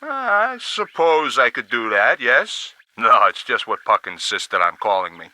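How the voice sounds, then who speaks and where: An actor playing a folksy, easygoing radio operator in a video game, chatty and plain-spoken. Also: male voice, mid-range voice, sing-song, unhurried, bright, forceful tone, no echo, full character voice. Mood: amiable, puzzled. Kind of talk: scripted video game dialogue acting.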